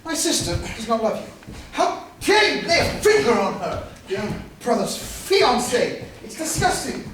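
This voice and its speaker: A woman playing a hot-tempered, protective upper-class gentleman, declaiming in a staged reading of a period murder mystery. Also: on the high side, sing-song, a little slow. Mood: outraged. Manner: blustering, declamatory. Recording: echoey room, distant mic, noisy.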